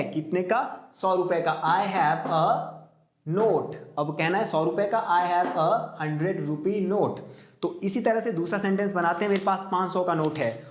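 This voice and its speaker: A man teaching an English grammar class in Hindi, reading example sentences out slowly and deliberately. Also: high voice, echoey room. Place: classroom with a board.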